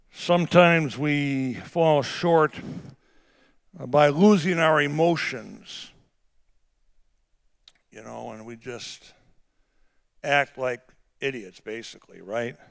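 A middle-aged male pastor with a big voice, preaching a sermon that swings from quiet, conversational asides to shouted, drawn-out emphasis. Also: medium pitch. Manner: drawn-out emphatic phrasing on key words.

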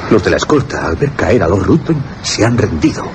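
Low, animated Spanish gentleman. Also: a little fast, background noise. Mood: urgent. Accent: Spain. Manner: formal, dramatic. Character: earnest, proud young gentleman traveler. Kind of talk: radio drama acting.

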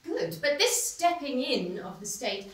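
An actress playing a polite, earnest TV debate moderator in a satirical stage play. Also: female voice, sits high, big pitch swings, unhurried. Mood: politely probing. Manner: polite, formal, questioning. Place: small stone-walled theatre stage. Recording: roomy stage sound, distant mic.

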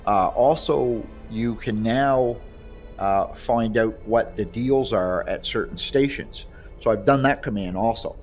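A man giving a casual, halting, conversational gameplay demonstration. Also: fairly low pitch, a little mellow. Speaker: creator demonstrating his voice pack. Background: music behind the voice.

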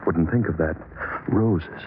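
A man playing a haunted, remorseful chemist in an old radio horror play, brooding aloud in halting soliloquy. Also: sits low. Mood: bitter brooding. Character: haunted, remorseful rose-breeding chemist recalling his ruin. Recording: muffled, noisy vintage radio broadcast.

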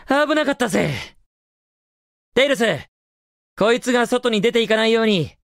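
Cocky young hero hedgehog, lively delivery. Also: male voice, very high-pitched, dry recording, studio quality, full character voice. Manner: confident, casual, brash delivery. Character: cocky, upbeat, heroic young male hedgehog, cool and daring. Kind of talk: Japanese video game voice acting, short clips.

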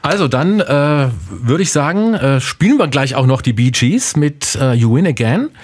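Casting: middle-aged male radio host, medium pitch, conversational presenting in a studio. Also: big pitch swings, normal speed, slightly rough. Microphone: studio broadcast microphone.

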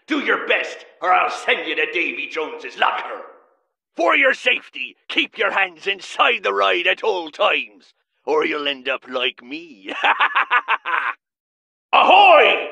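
An actor playing a boisterous pirate fox animatronic hosting a ride, with a bellowing pirate drawl. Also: male voice, sits high, gravelly, sharp tone, far from the mic, exaggerated voice. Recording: roomy game audio from afar.

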